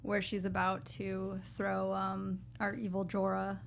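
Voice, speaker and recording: A woman's voice: medium pitch, completely flat delivery, a little slow, vocal fry, slight background noise. A woman co-hosting a review show in casual conversation with the panel.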